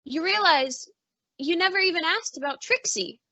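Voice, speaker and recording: A doting mother of a grown son acted by a woman in a vintage-style radio play, fussing and gently chiding. Her voice is high and bright. The recording is dry and slightly dull. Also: animated, normal speed, dry recording, full character voice. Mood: probing. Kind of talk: old-time radio suspense acting. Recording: slightly dull, muffled audio.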